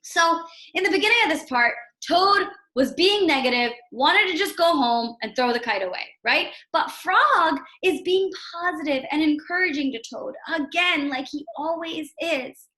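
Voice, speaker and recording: High, bright-voiced woman teacher. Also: expressive, normal speed, hardly any pauses, echoey room. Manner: instructional, repetitive emphasis, checks with "right?" and "okay?". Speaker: elementary school teacher. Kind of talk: read-aloud lesson for young children.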